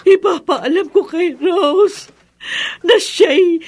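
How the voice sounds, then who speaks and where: High-voiced, anguished mother. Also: slow pace, a little mellow, heavily acted. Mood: tearful remorse. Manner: tearful, pleading, wailing. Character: anguished, guilt-ridden mother confessing a secret. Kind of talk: melodramatic horror radio drama acting.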